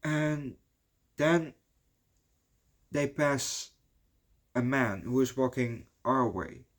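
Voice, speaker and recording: Dutch man, native speaker, middle-pitched, casual rambling reviewer, swears a lot. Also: extremely slow. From Netherlands. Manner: rambling, swears often, peppers talk with "you know". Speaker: movie reviewer.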